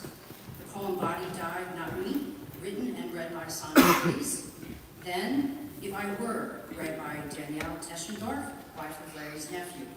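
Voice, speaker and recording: A woman officiant making formal, measured announcements at a memorial service, pausing between phrases. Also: on the low side. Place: large echoing hall at a memorial service. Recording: distant, echoey hall with clear background noise.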